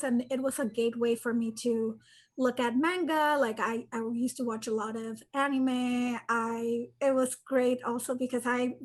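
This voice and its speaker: A woman's voice: high voice, poor audio. A woman in her thirties from the Republic, chatting casually on a video-call panel, laughing often and correcting herself as she goes. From Dominican Republic. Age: 30s. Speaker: panelist artist.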